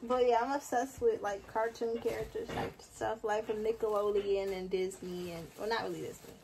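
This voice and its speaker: A woman's voice: mid-range voice, steady tone, normal speed. A young woman in her twenties chatting through a casual shopping vlog, rambling with fillers, filmed on a handheld phone in a mall and then at home. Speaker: vlogger. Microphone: handheld phone camera. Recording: noisy phone recording.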